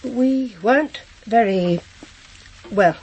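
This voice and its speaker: Flustered, higher-voiced middle-aged widow. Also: female voice, a little mellow, a little hiss or hum, rough recording. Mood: dazed, rambling. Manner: halting, flustered, repeats herself. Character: shocked, hesitant, proper middle-aged widowed housewife. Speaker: actor in a crime drama. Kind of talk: naturalistic radio drama acting.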